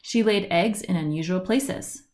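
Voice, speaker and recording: A woman reading a children's picture book aloud, with deliberate phrasing, stretched words and lilting lists. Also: fairly low pitch, a little mellow. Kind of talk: read-aloud storybook narration. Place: indoors at home, at a wooden table by a window.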